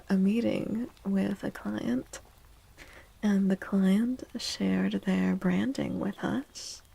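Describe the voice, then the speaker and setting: A soft-spoken woman hosting an ASMR livestream, talking in a hushed voice that often slips into a near-whisper, close to the microphone. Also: mid-range voice, vocal fry, husky, low-quality recording. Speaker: ASMR streamer, tarot reader.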